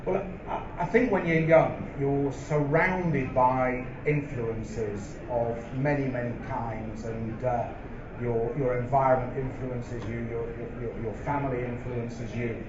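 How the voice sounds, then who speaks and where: A middle-aged man, telling stories in a live on-stage interview, measured and full of ums and restarts. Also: mid-range voice, fairly even intonation. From England. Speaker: interviewee, company founder. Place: event hall with a live audience. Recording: roomy, dull live hall recording with noise.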